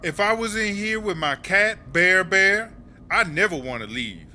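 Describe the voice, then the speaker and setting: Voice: mid-range voice, animated, unhurried, pushed and bright, faint music behind. An actor playing a brash, streetwise female prison inmate with slangy, emphatic talk in a comedy audio drama. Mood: earnest, wistful.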